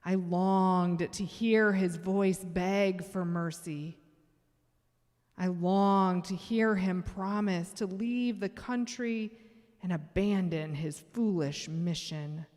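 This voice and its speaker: A woman performing a measured, solemn church reading as Fear, a menacing and boastful tormentor. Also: fairly low pitch, fairly even intonation, darkish tone. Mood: thwarted, solemn. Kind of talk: dramatic church reading, monologue. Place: large church sanctuary. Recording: long reverberant church hall echo.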